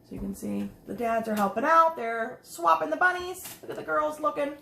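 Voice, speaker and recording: High-voiced woman reading to children. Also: moderate pace, creaky. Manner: expressive, asks listeners questions, explains pictures simply. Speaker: teacher reading a picture book aloud to children. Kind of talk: read-aloud storytelling with chatty asides to young children. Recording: roomy echo, some background noise.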